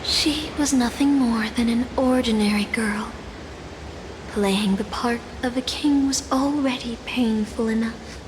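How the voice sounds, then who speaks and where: Slow, reverberant solemn villainess, played by a woman. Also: a little high, close to an everyday voice. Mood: solemn, pitying. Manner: measured, theatrical, deliberate phrasing. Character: woman antagonist, solemn, set on stripping heroes of glory. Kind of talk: dramatic scripted game dialogue. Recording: long hall reverb, steady hiss.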